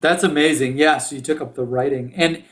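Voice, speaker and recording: A man hosts a casual interview podcast. His voice is a bit higher than most men's, and he speaks in an enthusiastic, slangy, affirming way. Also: fairly even intonation, brisk, fairly bright tone. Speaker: podcast host.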